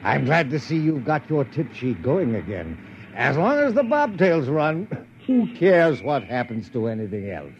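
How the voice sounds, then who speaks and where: A man's voice: mid-range voice, wildly swooping pitch, unhurried, slight background noise. An actor playing a hearty, patronizing older man with a smug manner in a 1950s radio drama. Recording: dull old radio recording.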